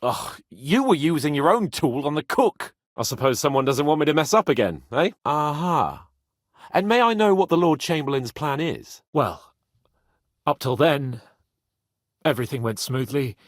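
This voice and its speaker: An actor playing a proud, hot-headed young nobleman in a video game, with a theatrical, sharp-tongued manner. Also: fairly high pitch, very animated, normal speed, no echo, studio quality. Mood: conversational. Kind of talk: dramatic video game voice acting.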